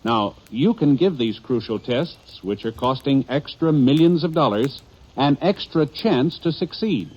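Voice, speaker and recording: A man's voice: mid-range voice, expressive, a little slow, a little mellow, breathy edge. A man announcing on 1950s radio in a formal, earnest, emphatic scripted style. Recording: dull 1950s radio recording.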